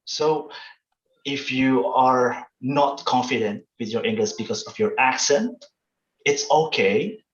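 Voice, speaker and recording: A man's voice: mid-range voice, slow. The sound is roomy and slightly dull, like an online call. A man with an Indonesian accent explains things informally as a presenter, in a conversational way that often seeks agreement. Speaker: webinar presenter. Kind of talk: casual explanatory online talk with examples.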